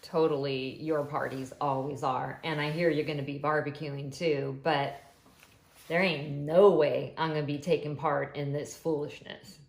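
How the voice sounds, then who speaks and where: A sulky, hard-working older brother with a grudge, low and grumbling, as a woman puts him on. He speaks in muttered, put-upon tones with a roomy echo. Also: steady tone, a little slow, vocal fry. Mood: resentful. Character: sulky resentful older brother, young man.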